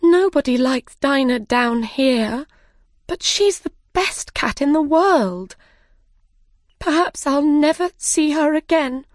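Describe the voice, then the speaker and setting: A woman's voice: high-pitched, animated, a little slow, husky, no echo, clean studio sound, pitched above the performer's own voice, heavily acted. A woman voicing a polite, earnest little girl in a children's storybook, with a light childlike manner. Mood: sorry, wistful. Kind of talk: children's storybook character reading.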